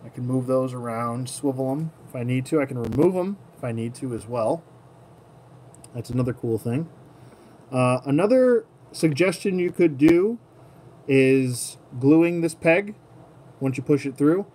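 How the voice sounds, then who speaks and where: A man's voice: mid-range voice, lively intonation, unhurried, a little mellow. A man giving a casual hands-on tutorial as a hobby host, at times murmuring to himself as he works. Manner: murmurs to himself while working with his hands. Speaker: toy collector host. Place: indoor tabletop setup. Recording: clearly noisy room ambience.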